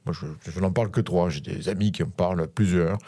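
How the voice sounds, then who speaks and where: Interview guest: middle-aged man from France, very deep voice, halting speech full of fillers. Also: steady tone, warm tone, breathy edge. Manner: hesitant, many fillers and restarts. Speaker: guest, school director. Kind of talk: interview on radio.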